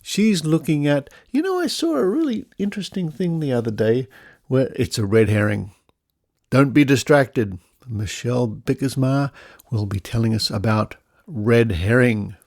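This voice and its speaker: A man's voice: mid-range voice, a little mellow, dry recording, studio quality. An Australian man hosting a podcast with a chatty, playful and slightly theatrical delivery. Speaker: podcast host.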